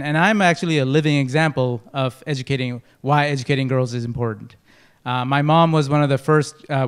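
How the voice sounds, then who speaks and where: Clear-voiced man from Nepal, presenting informally. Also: medium pitch. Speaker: non-profit representative pitching.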